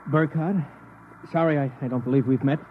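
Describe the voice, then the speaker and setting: A man's voice: medium pitch, steady tone, a little fast, close to an everyday voice. A man acting a polite, aloof stranger in a 1950s radio drama. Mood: politely puzzled. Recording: dull, noisy vintage radio recording.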